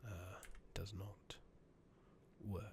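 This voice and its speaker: A man with a low, creaky voice speaks softly and often slips into a whisper as he comments on a game he is playing. He takes his time, musing to himself in halting murmurs. There is clear noise behind him, with keyboard clicks. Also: flat delivery. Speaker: ASMR gaming streamer. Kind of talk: soft-spoken, whispered ASMR game commentary. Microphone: USB condenser microphone on a tripod with pop filter.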